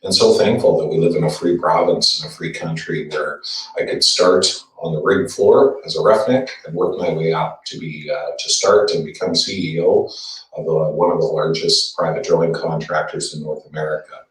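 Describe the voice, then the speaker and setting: A middle-aged Canadian man from Alberta giving an earnest campaign self-introduction, steady but halting with fillers. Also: rumbling low. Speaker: party board candidate. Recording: echoey room, distant mic.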